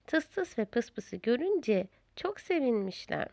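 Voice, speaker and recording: A woman narrating a children's storybook in a deliberate, expressive storyteller's manner. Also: a little high, vocal fry, no echo.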